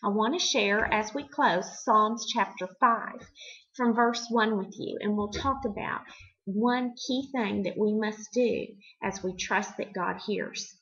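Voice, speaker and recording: A middle-aged woman hosting a devotional for children, teaching in simple, expressive words and putting questions to the listener. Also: mid-range voice, slow, lo-fi sound. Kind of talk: devotional talk addressed to children, with storytelling.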